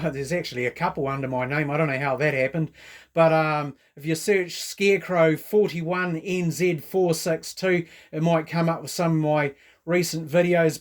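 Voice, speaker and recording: Chatty New Zealand man, slightly high voice. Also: fairly even intonation, moderate pace. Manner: chatty, informal, uses radio jargon. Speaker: amateur radio operator. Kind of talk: casual radio chat.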